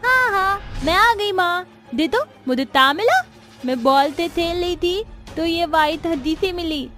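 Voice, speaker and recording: A tiny, playful five-year-old girl for a cartoon tale. The voice is extremely high and very bright. Words come out in babyish, childlike diction over faint music. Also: expressive, unhurried, full character voice. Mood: playful, eager. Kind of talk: Hindi cartoon story voice acting.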